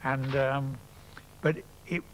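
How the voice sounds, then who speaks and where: A man's voice: medium pitch, flat delivery, takes it slowly, a little hiss or hum, low-quality recording. A man fondly reminiscing about pantomime dames in an interview, emphatic in his praise. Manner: warm, fond, emphatic. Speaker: interviewee, theatre insider.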